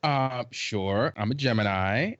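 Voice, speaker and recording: A middle-aged man from Trinidad, raised in South Carolina, chatting casually as a podcast guest in a jokey, animated way with many restarts. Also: medium pitch, slow, no echo, highs cut off. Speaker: podcast guest, basketball writer. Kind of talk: casual chat.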